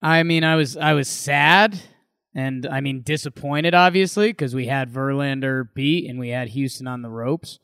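A man's voice: mid-range voice, normal speed, fairly bright tone, smooth, no echo, clean studio sound. An American man from Connecticut chatting casually and easily about sports as a podcast co-host. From Connecticut, United States.

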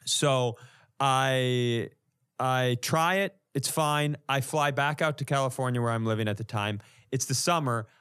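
A man's voice: mid-range voice, measured pace, fairly bright tone, smooth. An American man, a comedian and podcast co-host, telling stories casually and profanely, acting out reactions as he goes.

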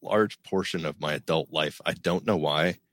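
A middle-aged man, co-host of a podcast, with a deep voice, talking in a rambling, self-deprecating way with many restarts. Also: moderate pace, no echo. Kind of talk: casual podcast chat.

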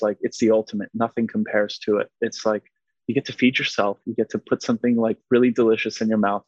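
A man's voice: on the low side, darkish tone, no room sound. A man whose first language is Polish, talking earnestly in a casual interview, rambling with many fillers and restarts. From United States. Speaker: guest. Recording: dull lo-fi sound.

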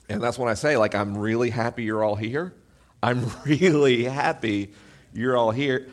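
A man with a deep, booming voice, hosting a live podcast event and talking to his audience from the stage in an animated, self-deprecating way through an amplified mic. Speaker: podcast host.

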